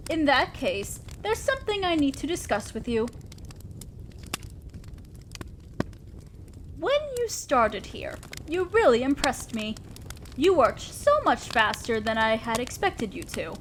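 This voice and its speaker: A woman plays an imperious demon lord of hell with a distinctly high feminine voice. She is commanding and condescending, an exacting boss in an audio roleplay. Clear background noise and ambience sit behind her. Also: big pitch swings, a little slow. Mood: measured, frank. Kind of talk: scripted roleplay audio drama monologue.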